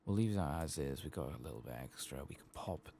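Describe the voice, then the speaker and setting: A soft-spoken man giving unhurried ASMR gameplay commentary, murmuring to himself and sometimes dropping toward a whisper, close to the microphone. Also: extremely low pitch, lively intonation, vocal fry, a little hiss or hum. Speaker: gaming streamer.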